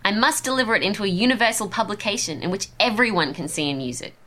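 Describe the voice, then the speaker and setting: A woman's voice: mid-range voice, expressive, fast, bright, forceful tone. A woman playing a burdened young heroine on a quest in a comic pantomime, speaking melodramatically. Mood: determined. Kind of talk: amateur comic pantomime acting.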